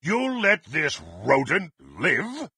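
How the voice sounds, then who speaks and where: An actor playing a brutal, bloodthirsty fantasy warrior in a video game, haughty and threatening, with a gruff, raspy voice and formal archaic diction. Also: male voice, sits high, very animated, takes it slowly, sharp tone, no echo, studio quality, heavily acted. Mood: indignant threatening. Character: brutal, menacing, bloodthirsty male warrior, contemptuous and impatient. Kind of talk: theatrical fantasy game voice acting.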